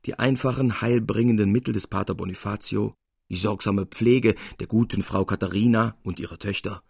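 A male narrator reads literary prose from a script. His voice sits low and has a gravelly, husky grain. It is recorded close and dry, as for an audiobook. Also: fairly even intonation, normal speed, darkish tone, no room sound.

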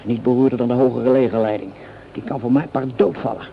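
A man's voice: mid-range voice, quick pace, vocal fry, close to an everyday voice. An actor playing a coarse, sardonic common soldier in a radio play, cursing and resentful of his officers. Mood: bitter, scornful. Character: gruff, hungry, rebellious common foot soldier, embittered against officers. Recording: noisy, dull old radio drama recording.

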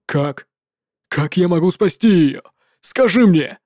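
A woman's voice: fairly low pitch, animated, slow pace, darkish tone, no echo, clean studio sound, pitched below the performer's own voice. A woman voicing an enraged male paladin who growls and threatens. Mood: desperate rage.

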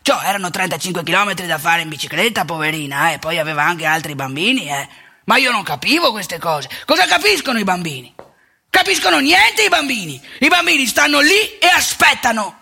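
A woman's voice: medium pitch, very animated, fairly quick, gravelly, pushed and bright, breathy edge, heavily acted. A woman playing a wry, wounded old former seminarian priest in a theatrical monologue, talking colloquially and prodding the audience with questions. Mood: wistful, hurt, then aggrieved. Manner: colloquial, teasing, rhetorical questions and tag words. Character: aging Veneto ex-seminarian priest, wry, wounded, ranting storyteller. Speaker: stage monologue actor.

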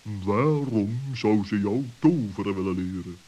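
A man playing a cautious, wise owl with a deliberate, pompous way of speaking in a children's radio play. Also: on the low side, dark tone. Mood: doubtful, pondering.

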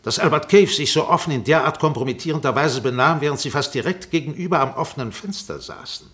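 A man's voice: a little high, quick pace, a bit bright, close to an everyday voice. An actor playing an insistent, insinuating defence barrister in a German radio courtroom drama, formal and peremptory as he questions. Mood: probing, insinuating. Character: relentless, insinuating male defence barrister cross-examining a witness.

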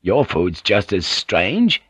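A man's voice: fairly low pitch, wildly swooping pitch, takes it slowly, a bit bright, breathy edge, no echo, heavily acted. A man voicing a blunt, opinionated, irritable European man of the sea in an audiobook. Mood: irritated, scornful. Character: blunt, irritable European seafarer living in Japan.

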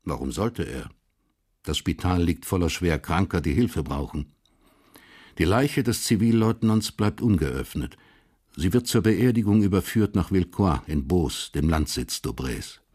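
A man narrating a radio crime drama in measured, sober, literary German prose style. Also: deep voice, expressive, a little mellow, breathy edge.

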